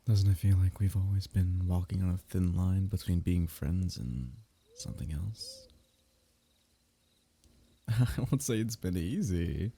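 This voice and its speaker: A young man speaking softly and intimately in an ASMR roleplay, teasing and sometimes dropping into a whisper. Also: deep voice, a little slow, creaky, breathy edge.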